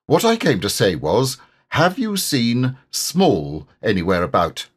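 A man voicing a brisk, self-important rabbit who likes to organise everyone, in a children's storybook. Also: medium pitch, wildly swooping pitch, husky, exaggerated voice. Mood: careless, brisk. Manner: important, brisk, carelessly dismissive.

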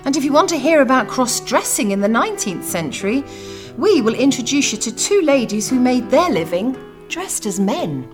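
A woman's voice: high voice, very animated, moderate pace, over music. A woman co-hosting a podcast, reading scripted trailer narration. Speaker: podcast host.

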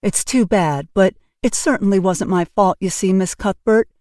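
A woman's voice: mid-range voice, moderate pace, mellow, no echo, clean studio sound, close to an everyday voice. A woman voicing a fussy, kindly older neighbor woman who chatters and exclaims in a flustered way.

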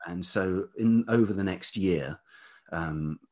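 A man's voice: low-pitched, slow pace, a little mellow. A man in his fifties reflecting on his life as an interview guest, speaking hesitantly and thoughtfully in a velvety voice. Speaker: interview guest, writer and producer. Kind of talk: reflective autobiographical interview chat.

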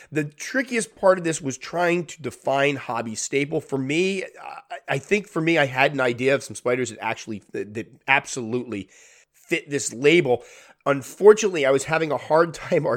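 A man with a stuffy, blocked-up nose talks through a solo podcast episode. His delivery is casual and rambling, full of restarts and asides, in a voice of ordinary middle pitch. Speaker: podcast host.

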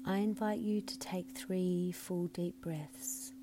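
A woman leading a scripted guided meditation in a soothing, measured way, her phrases often softening into breathiness. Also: fairly low pitch, steady tone. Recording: clearly audible background hum and noise.

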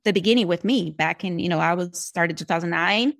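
A woman's voice: fairly low pitch, brisk, creaky. Chatty woman with a Brazilian accent. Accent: Brazilian Portuguese. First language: Portuguese. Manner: chatty, animated storyteller. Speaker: guest, speech-language pathologist and app developer. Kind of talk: casual podcast interview.

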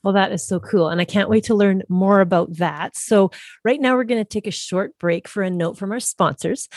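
Upbeat woman podcast host, middle pitch, dry close sound, handles ad reads. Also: a little mellow, no room sound. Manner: upbeat and enthusiastic. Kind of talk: podcast hosting and sponsor reads.

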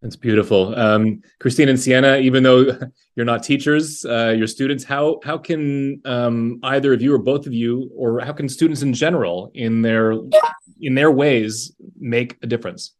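A man hosting a conversational podcast interview, speaking haltingly with pauses between phrases. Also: medium pitch.

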